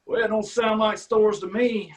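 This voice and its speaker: A man's voice: sits high, steady tone, moderate pace, lightly acted. A man playing a smug sheriff. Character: rural county sheriff, middle-aged man, prying and smug. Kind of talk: staged play reading.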